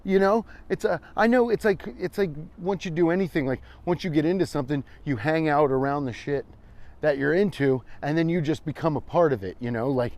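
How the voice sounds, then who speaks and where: A man's voice: fairly high pitch, a little fast, darkish tone. An American man, around his forties, chatting casually and irreverently as he hosts a rambling comedic walking vlog, swearing freely. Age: 40s. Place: outdoors, walking on a trail.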